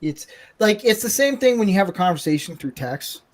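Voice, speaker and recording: A man's voice: high-pitched, animated, fairly quick. A man hosting a casual live podcast, talking bluntly and rambling with self-mocking humor. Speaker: podcast host. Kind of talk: casual live-stream chat.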